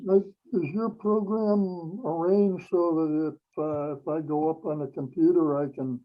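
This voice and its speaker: A man casually asking a question in an online meeting, heard over lo-fi video call audio. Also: a little high.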